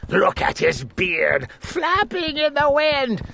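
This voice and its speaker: A man's voice: very high voice, wildly swooping pitch, takes it slowly, creaky, bright, forceful tone, voice pushed up, full character voice. A man voicing a sly, sulky scheming lord who hisses harsh commands. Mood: grumbling.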